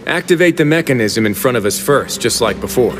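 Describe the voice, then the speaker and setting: An actor playing a calm, commanding adult male prison warden in a video game, measured and composed. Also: medium pitch, close to an everyday voice. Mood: reflective. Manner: measured, composed, quietly authoritative. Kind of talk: video game cutscene voice acting. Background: game music soundtrack.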